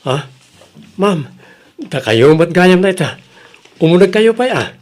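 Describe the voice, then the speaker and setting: Earnest young ilocano farm guy man acting in a radio drama, voice a bit on the high side. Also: big pitch swings, measured pace. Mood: puzzled, polite. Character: hardworking, upright young farmer grandson.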